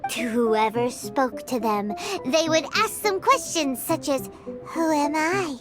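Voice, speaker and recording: A woman voicing a tiny, excitable, childlike fairy companion in an anime-style game, squeaky and bossy, easily scared. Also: slow. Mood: spooky storytelling. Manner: refers to self in third person, exclamatory. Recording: loud background music.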